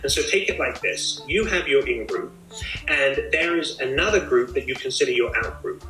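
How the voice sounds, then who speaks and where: A man's voice: mid-range voice, animated, moderate pace, sharp tone, reverberant, soft background music, low-quality recording. A man hosting a casual video chat, explaining his ideas at length in a thoughtful way. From Australia. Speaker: host.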